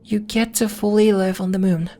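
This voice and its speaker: A woman playing a serene, solemn alien moon healer in an ASMR roleplay, speaking soothingly in formal, deliberate phrases. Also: medium pitch, fairly even intonation, mellow, close to an everyday voice. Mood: gentle, casual. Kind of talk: ASMR roleplay, soft scripted monologue addressed to listener.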